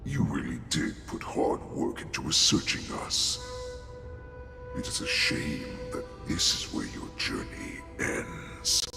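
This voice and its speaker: A monstrous game villain, a predatory warlord with an extremely low voice that growls and crackles. He gloats slowly and menacingly, with huge swings in pitch, in a reverberant space with music underneath. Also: huge pitch swings, pushed and bright, exaggerated voice. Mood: quiet threat. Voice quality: gravelly. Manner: slow, sinister, theatrical, breathy murmurs between growls. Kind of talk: dramatic video game villain acting. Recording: echoey reverberant hall effect over music.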